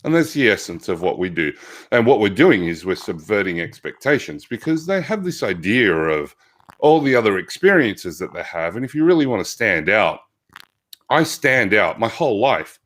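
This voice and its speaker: Australian man, loud voice, lowish pitch, reflective guest, frequent restarts. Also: sing-song, normal speed. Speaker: physical therapist guest. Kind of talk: conversational interview.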